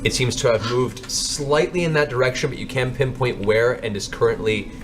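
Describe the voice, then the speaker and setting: A man's voice: medium pitch, normal speed. A man narrating a tabletop game as game master, improvising as he goes.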